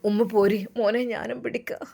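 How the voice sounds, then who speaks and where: A woman's voice: fairly high pitch, little pitch movement, brisk, vocal fry, dark tone. A woman storyteller voicing a concerned young man who speaks earnestly to reassure an older mother. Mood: reassuring.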